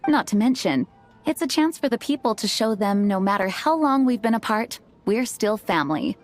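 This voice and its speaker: An actress playing an earnest, modest young knight commander in an anime game, speaking formally and politely. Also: female voice, a little high, lively intonation, moderate pace, lightly acted. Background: background music.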